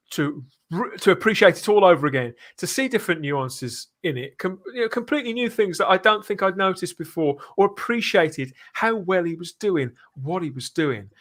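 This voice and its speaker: A man's voice: fairly high pitch, expressive, moderate pace, a bit bright. A man hosting a live fan podcast, talking in an earnest, emphatic way. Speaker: podcast host.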